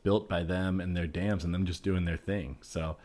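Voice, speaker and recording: A man improvising an earnest, easygoing tattooed nature enthusiast in a comedy sketch, talking haltingly and repeating words as he searches for phrasing. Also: low-pitched, fairly even intonation, a little mellow. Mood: earnest, musing.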